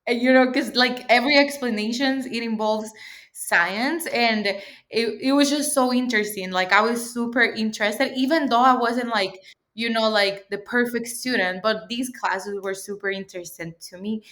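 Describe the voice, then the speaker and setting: A woman's voice: fairly high pitch, normal speed, a bit bright. A woman in her twenties from El Salvador, a PhD student chatting as an interview guest, talking freely with many fillers and frequent laughs. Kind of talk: casual interview chat.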